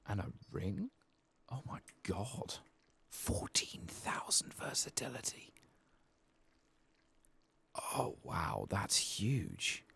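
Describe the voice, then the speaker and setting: A man giving soft-spoken, sometimes whispered ASMR game commentary, murmuring casually to himself close to the mic and swearing now and then. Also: lowish voice, wildly swooping pitch, drawn-out words, gravelly, pauses often, slightly rough. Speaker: ASMR gaming streamer.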